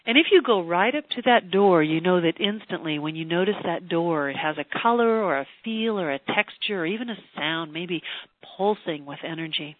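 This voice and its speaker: Older teleseminar host, gushy and chatty then slow hypnotic relaxation voice, tinny dry phone line. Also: medium pitch, expressive, no echo. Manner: effusive and chatty, turning slow and soothing for a trance induction. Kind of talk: live teleseminar, then guided relaxation script.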